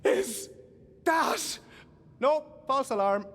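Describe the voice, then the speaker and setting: A man's voice: extremely high pitch, expressive, drawn-out words, fairly bright tone, long reverb tail, full character voice. A man playing a wry, easily distracted treasure hunter in a video game, quippy and theatrical. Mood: playful. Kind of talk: video game character dialogue.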